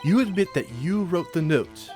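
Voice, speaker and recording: A man's voice: high voice, lively intonation, normal speed, darkish tone, faint music behind, pitched above the performer's own voice. A man voicing a haughty, disdainful prosecutor in a video game, with pompous, formal phrasing.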